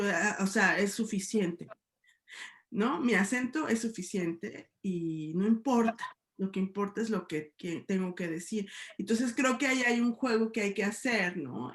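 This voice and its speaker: A woman's voice: medium pitch, echoey room. A middle-aged woman with a Mexican Spanish accent, a sociology professor talking thoughtfully in a debate, often asking "¿no?". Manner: thoughtful, many hedges and tag questions. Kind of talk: reflective debate talk.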